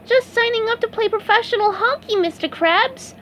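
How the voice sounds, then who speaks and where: An eager young sponge boy squeaks with excitement, his pitch leaping high and all over the place, as a woman reads him aloud. Faint noise sits behind the voice. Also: a little slow, exaggerated voice. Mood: excited. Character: cheerful eager young male sea sponge.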